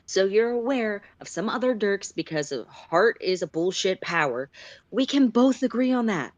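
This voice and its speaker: A woman's voice: mid-range voice, expressive, moderate pace, no room sound, lightly acted. A woman playing an exasperated, sweary sylph philosopher who lectures and argues sarcastically in an amateur story reading. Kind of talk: amateur audio fiction read aloud live.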